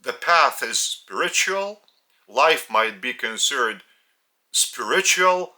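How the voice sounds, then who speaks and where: A man from Lithuania with a Lithuanian accent, a spiritual teacher speaking in short, halting phrases, his native language being Lithuanian. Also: mid-range voice, expressive, sharp tone. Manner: halting, phrase-by-phrase delivery with pauses. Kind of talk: slow spiritual teaching talk.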